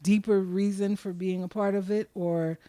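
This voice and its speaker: A woman's voice: mid-range voice, steady tone, moderate pace, warm tone, no room sound. A woman hosting a podcast in casual, frank conversation, asking probing questions. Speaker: podcast host.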